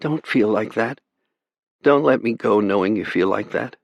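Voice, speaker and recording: A man's voice: fairly low pitch, moderate pace, creaky, dry recording, muffled recording, pitched above the performer's own voice. A man voicing a frightened, brave teenage girl in an audiobook, pleading and tender. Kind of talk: audiobook character voice.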